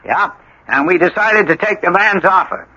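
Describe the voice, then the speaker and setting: An actor playing an eager, gullible small-town husband with an excitable, gee-whiz manner in a radio drama. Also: male voice, sits high, normal speed, breathy edge, full character voice. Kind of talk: 1980 radio drama acting. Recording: dull, slightly noisy old radio broadcast.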